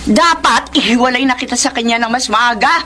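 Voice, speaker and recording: Sharp, high-voiced protective mother. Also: brisk, a little hiss or hum. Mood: stern, concerned. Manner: scolding, emphatic. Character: protective, indignant mother of a grown daughter. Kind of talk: Filipino radio drama acting.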